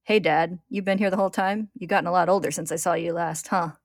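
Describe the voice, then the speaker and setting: A woman's voice: lowish voice, a little fast, no echo, studio quality, close to an everyday voice. A woman voicing a cocky, defiant male homunculus with a snarling, taunting manner in a dramatic story reading. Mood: defiant, sneering. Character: cocky, defiant male homunculus, sneering, reckless rebel son.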